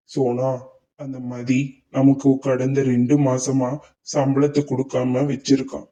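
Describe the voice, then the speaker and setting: Casting: man as weary, devoted sparrow husband, cartoon, subdued and dark-toned, slightly off-mic. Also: medium pitch, creaky. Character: weary, self-sacrificing sparrow husband, wronged labourer. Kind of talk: children's cartoon story acting. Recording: dull, slightly distant sound.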